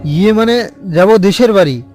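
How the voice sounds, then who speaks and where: Man playing a high-voiced anxious, stubborn traveller. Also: animated, moderate pace, over music. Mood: nervous, defiant. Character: stubborn, anxious male traveller carrying money. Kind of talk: cartoon ghost-story voice acting.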